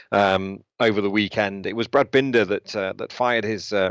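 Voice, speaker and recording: A man co-hosting a casual motorsport podcast, chiming in with quick interjections and wry asides. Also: fairly low pitch, no echo. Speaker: podcast co-host. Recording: dry, dull lo-fi sound.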